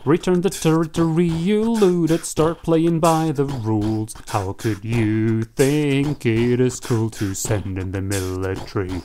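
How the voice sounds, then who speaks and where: A stern European stateswoman played by a woman in a cartoon satire. Her voice is low and dark, rebuking in rhymed verse over some noise. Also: slow pace, pauses often. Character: stern female European envoy rebuking a leader.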